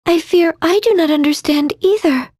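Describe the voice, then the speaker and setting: A woman playing a solemn, devout young priestess in a video game, speaking formally and earnestly in measured phrases. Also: very high voice, darkish tone, dry recording, studio quality. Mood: grave, uncertain.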